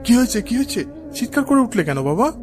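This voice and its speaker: A man's voice: extremely high pitch, very animated, fast, soft background music. A man playing a kind, patient father in a children's cartoon, explaining things in a reassuring manner. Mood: concerned, amused. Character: kind, patient father teaching his son.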